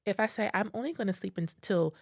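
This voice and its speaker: A woman's voice: mid-range voice, quick pace, creaky, no echo, clean studio sound. A woman co-hosting a casual podcast chat, playful and upbeat as she tells stories. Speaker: podcast co-host.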